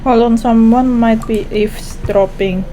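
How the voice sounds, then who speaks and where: A woman's voice: on the high side, steady tone, unhurried, background noise. A woman with an Indonesian accent giving casual commentary as she streams a game.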